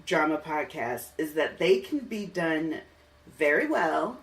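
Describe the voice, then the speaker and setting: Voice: medium pitch, big pitch swings, takes it slowly, a bit bright. Chatty podcast host, slightly off the mic. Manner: enthusiastic, chatty. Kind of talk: casual interview conversation. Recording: slightly distant mic, music underneath.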